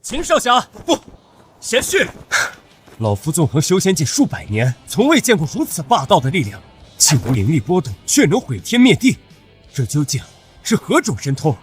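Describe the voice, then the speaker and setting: Male actor as old cultivator elder, dignified, archaic speech, animated intonation, music behind. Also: on the high side, moderate pace. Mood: amazed. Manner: formal, archaic, grave. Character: dignified, awed old cultivator father-in-law, centuries old. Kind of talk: animated xianxia drama dubbing.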